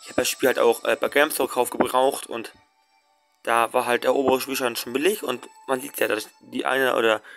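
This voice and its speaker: A young man rambles through casual let's play commentary, stacking fillers and restarting his sentences as he goes. His voice sits at an ordinary middle pitch. Soft game music plays quietly under his voice. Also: steady tone, brisk, creaky.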